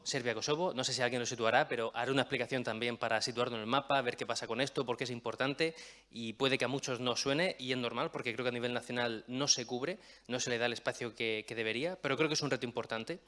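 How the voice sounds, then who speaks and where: Fast-talking young man giving a talk. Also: mid-range voice, fairly bright tone. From Murcia, Spain. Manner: chatty, explanatory, self-deprecating asides. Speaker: guest speaker. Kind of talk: public talk.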